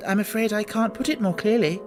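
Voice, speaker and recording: A man's voice: high voice, a little fast, over music, pitched above the performer's own voice, close to an everyday voice. A man voicing a polite, puzzled young girl in a storybook, speaking earnestly and a little plaintively. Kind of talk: audiobook character voice.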